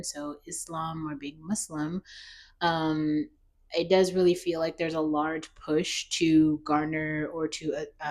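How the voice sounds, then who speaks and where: A woman with an American accent giving casual, opinionated commentary in a rambling, conversational way, as a vlogger talking off the cuff. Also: sits low.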